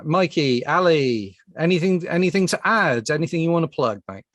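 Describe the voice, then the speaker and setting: A man's voice: on the high side, lively intonation, normal speed, fairly bright tone, no room sound, clean studio sound. A man hosting a casual panel chat, a little hesitant and often repeating his words. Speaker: podcast host.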